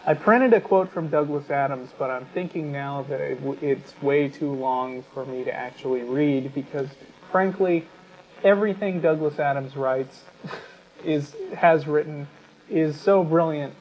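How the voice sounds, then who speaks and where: A man co-hosting a talk show, giving unscripted commentary in a halting, self-correcting, argumentative way. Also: on the high side. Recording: dull sound with clear background noise.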